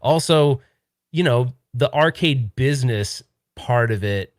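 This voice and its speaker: Male podcast host, thirties, middle pitch, loose and rambling, dry close sound. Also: animated, slow, no echo. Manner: rambling, self-interrupting, laughs easily. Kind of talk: casual podcast chat.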